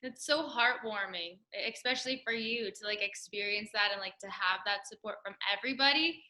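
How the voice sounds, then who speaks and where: A woman's voice: medium pitch, fairly quick, bright, forceful tone. A woman hosting an interview, chatting casually and gushing encouragement at her guest. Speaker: interview host.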